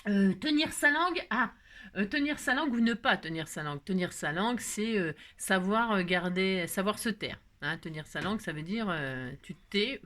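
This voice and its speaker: A woman's voice: mid-range voice, a bit bright. A woman hosting a craft video, chatting casually in a rambling, jokey way full of digressions. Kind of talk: casual chat.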